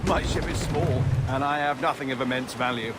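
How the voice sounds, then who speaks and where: A man's voice: medium pitch, normal speed, heavy background noise, natural voice. A man playing a genteel, chatty age-of-sail merchant in a video game, polite, wordy and easily impressed. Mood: chatty, modest. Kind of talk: period video game acting. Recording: loud music over the voice.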